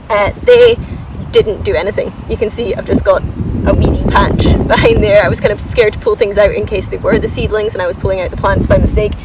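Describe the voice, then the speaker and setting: A woman's voice: mid-range voice, heavy background noise. Chatty woman vlogging outdoors, mic at a distance. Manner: enthusiastic, informal, self-deprecating, thinks aloud. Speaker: gardening vlogger giving a garden tour. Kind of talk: casual, unscripted chat to viewers. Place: outdoors in a flower garden.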